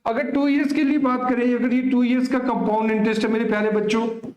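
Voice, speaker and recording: High-pitched man teaching. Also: level tone, quick pace, darkish tone, lo-fi sound. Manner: instructive, often asks and answers own questions; addresses students familiarly. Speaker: maths teacher. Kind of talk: exam coaching lesson, working through a problem. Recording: echoey room.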